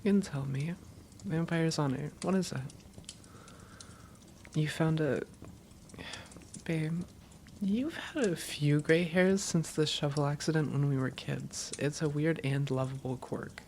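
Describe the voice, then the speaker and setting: Woman playing creaky-voiced, affectionate vampire girlfriend. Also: sits low, a little slow, pauses often, a little mellow, slight background noise. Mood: gentle, reassuring. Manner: playful, tender, soothing. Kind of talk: intimate roleplay audio addressed to a partner.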